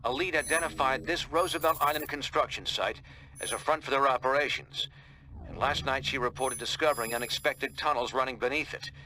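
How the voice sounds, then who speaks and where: Actor as a bright, forceful mission briefer. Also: male voice, mid-range voice, brisk, slight background noise, lightly acted, poor audio. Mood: serious. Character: crisp, serious male mission briefer. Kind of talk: video game mission briefing.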